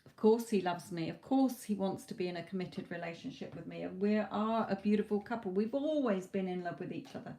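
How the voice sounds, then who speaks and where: A woman giving live tarot readings to viewers, talking reassuringly, her voice going a little hoarse from hours of talking. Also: medium pitch, a little fast, few pauses, warm tone. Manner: reassuring, calls viewers "my lovely". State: losing her voice, a bit hoarse. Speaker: tarot reader.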